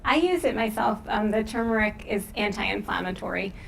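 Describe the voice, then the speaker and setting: A woman's voice: mid-range voice, moderate pace, gravelly, a bit bright, a little hiss or hum. A woman veterinarian answering audience questions after a lecture in an informal, conversational and reassuring way. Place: live lecture event.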